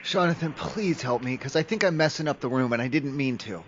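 A man's voice: mid-range voice, expressive, brisk, slight background noise. A man making an improvised prank phone call, rambling and pleading as if clueless. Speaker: prank caller. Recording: slightly dull, lo-fi call audio.

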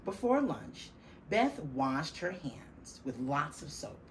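A middle-aged woman reads a children's story with emphatic, dramatic stresses. Her voice sits low and swings widely in pitch as she takes her time over each phrase. She is recorded in a classroom. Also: sing-song. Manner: deliberate, emphatic, dramatic stresses on key words. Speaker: teacher reading a picture book aloud to children. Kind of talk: expressive children's storybook reading. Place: small classroom, indoors. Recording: faint room noise behind the voice.

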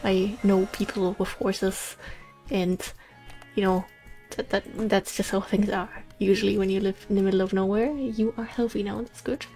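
A woman's voice: mid-range voice, flat delivery, moderate pace, warm tone, faint music behind, poor audio. A woman in her twenties chatting casually and telling stories while playing a game, with frequent giggles. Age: 20s. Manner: chatty, storytelling, giggly asides. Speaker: gaming channel host. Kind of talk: casual let's play commentary. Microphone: USB condenser mic.

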